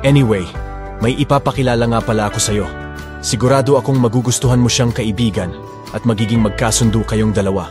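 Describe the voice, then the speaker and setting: Audio drama lead: tender, composed young man acted by a woman, big-brotherly, courteous, music clearly underneath. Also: extremely low pitch, steady tone, fairly quick, pitched below the performer's own voice.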